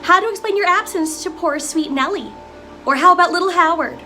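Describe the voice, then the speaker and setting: A high-voiced, anxious wife and mother played by a woman, reproachful and pleading in stage-musical drama. Music plays behind her words. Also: a little fast, pushed and bright. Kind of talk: dramatic table-read dialogue from a musical.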